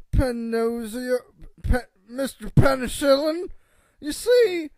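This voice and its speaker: Comic radio play: ancient, cantankerous old man, high-pitched, heavily creaky, rambling and crude. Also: darkish tone, no room sound, exaggerated voice. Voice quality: creaky, gravelly old-timer voice. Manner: rambling, cantankerous, folksy storytelling with profanity. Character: crotchety, crude, boastful world's oldest man, born 1824. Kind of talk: comedic radio theatre interview.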